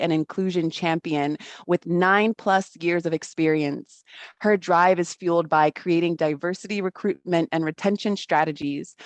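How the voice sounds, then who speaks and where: A host, whose first language is not English, introducing speakers in a friendly, slightly halting way. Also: mid-range voice, dry recording. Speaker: event host.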